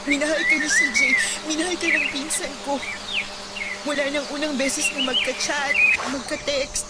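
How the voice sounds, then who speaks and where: A Filipino actress playing a soft-hearted young woman in a Tagalog radio love drama, speaking earnestly and gently. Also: sits high, little pitch movement, normal speed, very noisy, close to an everyday voice. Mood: sorrowful, earnest. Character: young woman, soft-hearted cousin breaking sad news. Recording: loud music under the voice.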